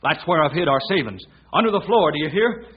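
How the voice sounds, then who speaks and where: An actor playing a protective, steady pioneer rancher father with folksy frontier speech in an old radio western. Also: on the high side, quick pace, breathy edge. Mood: urgent, commanding. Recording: dull old radio recording, crowd noise behind.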